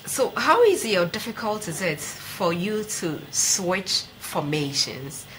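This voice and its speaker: A woman's voice: on the low side, big pitch swings, slow pace, fairly bright tone, slight background noise, rough recording. A young Ghanaian woman hosting a conversational sports interview in a TV studio, probing and direct. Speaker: TV host, interviewer.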